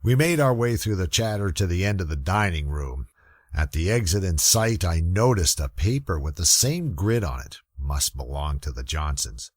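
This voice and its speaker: Man playing a very low, husky old-school detective. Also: sing-song, normal speed, no room sound, studio quality. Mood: wry, weary. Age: middle-aged. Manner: wry, folksy grumbling. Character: gruff, weary old-school male police lieutenant narrating hardboiled detective story. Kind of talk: old time radio murder mystery narration.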